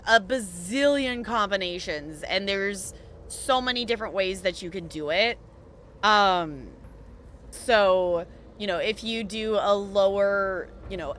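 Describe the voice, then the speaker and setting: A woman live streamer chatting casually with her viewers while gaming, thinking aloud with halting pauses. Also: mid-range voice, a bit bright, a little hiss or hum.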